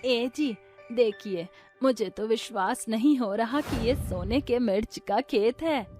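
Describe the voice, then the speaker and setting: A woman voicing a bossy, greedy village wife in an animated story. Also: a little high, slow. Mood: eager. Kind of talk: animated Hindi story dialogue. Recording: loud music behind the voice.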